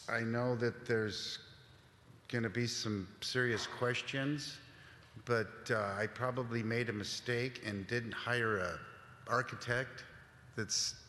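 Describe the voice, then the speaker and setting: A man's voice: mid-range voice, bright, forceful tone. A man speaking at public comment, hesitant and apologetic, with many fillers. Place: public meeting chamber. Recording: echoey hall with faint noise.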